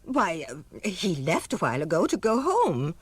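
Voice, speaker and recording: A woman playing a fretful, kindly orphanage matron with a slightly husky, fluttery voice in an audio drama. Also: lowish voice, huge pitch swings, moderate pace, no room sound, heavily acted. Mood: worried. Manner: fluttery, anxious. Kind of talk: cartoon audio drama acting.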